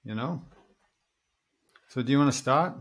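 A man's voice: mid-range voice, measured pace, fairly bright tone. Welsh man chatting on a video call. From Wales. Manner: friendly, rambling, says "mate" often. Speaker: podcast host. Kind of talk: casual video-call chat. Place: small room at home. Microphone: earphone headset on a video call.

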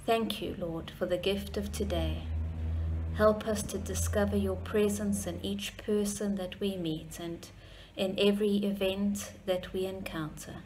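A middle-aged woman leads a measured spoken prayer in a room at home. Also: medium pitch, steady tone. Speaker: church member leading prayer. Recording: clearly noisy home recording.

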